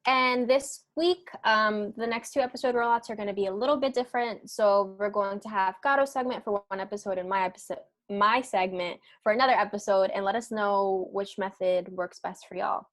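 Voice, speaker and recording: A woman's voice: medium pitch, fairly even intonation, fairly quick, fairly bright tone. Upbeat young woman co-hosting a podcast. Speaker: podcast co-host. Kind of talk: casual podcast chat.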